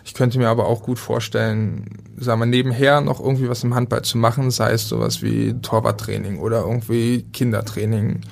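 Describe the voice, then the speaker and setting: Flat-voiced hesitant German man. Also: medium pitch, brisk, gravelly, few pauses. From Berlin, Germany. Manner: hesitant, many fillers and restarts. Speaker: handball goalkeeper. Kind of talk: interview answers.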